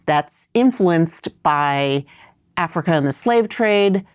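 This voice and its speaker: A woman's voice: on the low side, lively intonation, slow, clear, resonant tone, no room sound, clean studio sound. A middle-aged woman raised in New York, answering interview questions in a thoughtful, winding storytelling way. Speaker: podcast guest.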